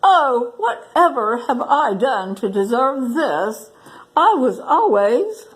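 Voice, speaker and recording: A proud storybook lion king, voiced by a woman, full of self-importance. He speaks with grand drama and swooping pitch, unhurried and sorry for himself. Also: very animated, heavily acted, poor audio. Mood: wounded, moaning. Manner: pompous, self-pitying, dramatic.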